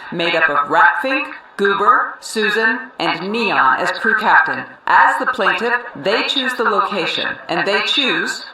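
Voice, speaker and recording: An actor playing an authoritative police commissioner in a cartoon, making a formal, declamatory announcement. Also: male voice, high-pitched, sharp tone, exaggerated voice. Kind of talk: official proclamation. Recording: echoey public address, distant, faint noise.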